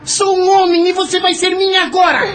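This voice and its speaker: A woman's voice: extremely high pitch, little pitch movement, a little fast. A woman playing a lovestruck, pushy young man in an audio drama, insistent and impassioned, with a Brazilian accent. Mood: aggressive, insistent.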